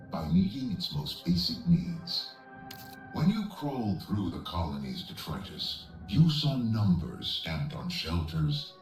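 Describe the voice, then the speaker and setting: A man playing a grandiose, solemn corporate AI envoy in a video game, speaking formally with deliberate, portentous delivery. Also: deep voice, reverberant, recorded from a distance, full character voice, low-quality recording. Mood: solemn, grand. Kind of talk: scripted video game cutscene monologue.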